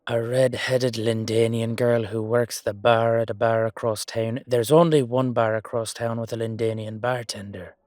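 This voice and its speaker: A man with an Irish accent playing a hot-headed, loyal knight in a roleplay audio drama, gruff and colloquial with a wry streak. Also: mid-range voice, steady tone, normal speed, few pauses, a little mellow, no echo, clean studio sound, lightly acted. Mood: angry, defiant.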